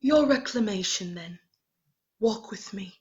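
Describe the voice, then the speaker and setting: Brief: woman playing a terse ghostly guide, measured, lo-fi recording. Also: medium pitch, lively intonation, darkish tone, lightly acted. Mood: solemn. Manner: clipped, solemn replies. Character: calm female spirit, terse and solemn.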